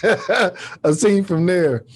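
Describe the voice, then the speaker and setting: A man's voice: high-pitched, slow pace. A man hosting a podcast in a casual, laid-back chat, asking questions in loose, familiar phrasing. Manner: laid-back, often tags sentences with "man". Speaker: podcast host.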